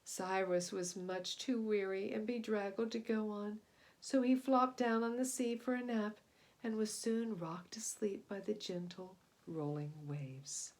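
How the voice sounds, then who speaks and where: A woman reading a picture book aloud to young children in expressive storytelling narration. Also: medium pitch, measured pace.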